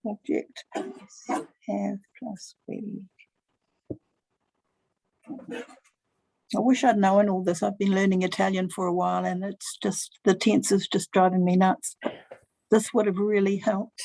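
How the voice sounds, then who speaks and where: A woman student on a video call who speaks up in class with flat, relaxed remarks. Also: medium pitch, takes it slowly, vocal fry, long pauses. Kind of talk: casual question in class. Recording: tinny phone-like call audio.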